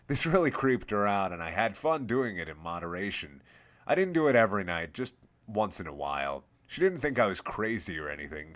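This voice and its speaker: Low-voiced man narrating a scary story. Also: a bit bright. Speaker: host and reader of a scary story series. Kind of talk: scripted first-person horror story narration.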